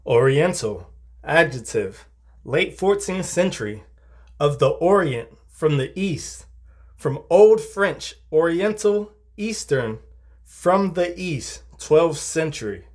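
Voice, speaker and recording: A man reading scripted narration in a formal, measured way, like reference-book entries. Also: fairly high pitch, expressive.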